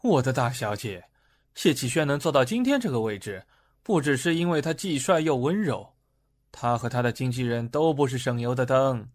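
A man's voice: mid-range voice, animated, normal speed, lightly acted. An actor in a Mandarin audio drama playing a sardonic, gossipy male office colleague. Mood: knowing.

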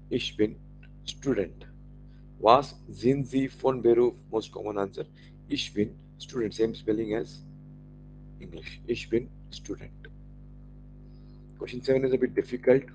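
Indian guy teaching german online, slow and kinda low voiced, repeats every phrase, little hum in the back. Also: a little mellow, rough recording. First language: Marathi. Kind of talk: online language lesson.

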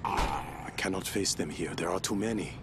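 A man's voice: deep voice, moderate pace, background noise, lightly acted. A man playing a stoic, resolute samurai in a video game, terse and grave with formal diction. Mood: grave, defiant. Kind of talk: video game cutscene acting.

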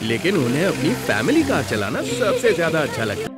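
Lively cartoon narrator, music behind. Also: male voice, on the high side, a little fast. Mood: bright storytelling. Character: cheerful storyteller of a children's cartoon. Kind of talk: scripted children's cartoon narration.

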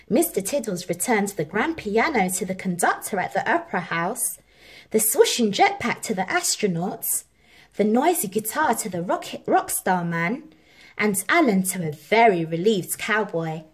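A woman reading a children's story aloud as an expressive, measured narrator. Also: mid-range voice, breathy edge. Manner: animated, measured. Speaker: storybook reader.

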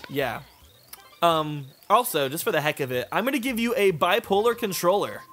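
A man's voice: fairly high pitch, expressive, normal speed, pushed and bright, slight background noise. A man co-hosting a gaming video with casual banter, crude, teasing and full of swearing. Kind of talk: casual banter over gameplay.

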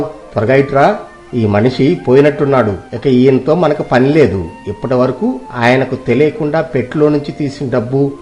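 A man voicing a greedy, furtive husband in a moral story, hurried and conspiratorial. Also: mid-range voice, a little mellow, lightly acted.

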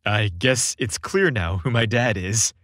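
A man's voice: lowish voice, unhurried, fairly bright tone, no room sound, clean studio sound, voice pushed down. A man voicing a reassuring, mischievous young man in a holiday romance story, confident and teasing. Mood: wry.

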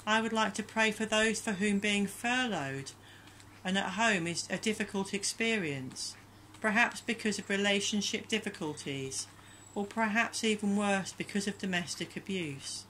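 A woman giving a calm, gentle, measured prayer reflection as a voice-over. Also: mid-range voice, a little hiss or hum, low-quality recording. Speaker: church minister leading prayer.

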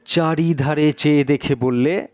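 A man's voice: fairly high pitch, steady tone, unhurried, darkish tone, no room sound. A man reading a story aloud as a dramatic audiobook narrator. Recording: slightly dull, muffled sound.

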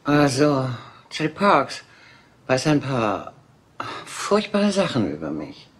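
An actress dubbing an aging Hollywood film diva in a German crime drama, theatrical and imperious. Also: female voice, very deep voice, huge pitch swings, slow, slightly rough, exaggerated voice. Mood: scheming.

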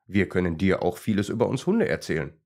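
A playful female dachshund from a children's tale, put on by a man. She is cheeky and outspoken, with lively, swinging intonation. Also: low voice, fairly quick, gravelly, heavily acted. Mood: eager.

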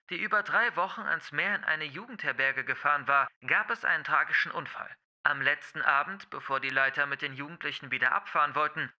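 A man voicing a formal male TV news anchor who reads a grave report in a measured newsreader delivery. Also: fairly high pitch, bright, forceful tone, muffled recording.

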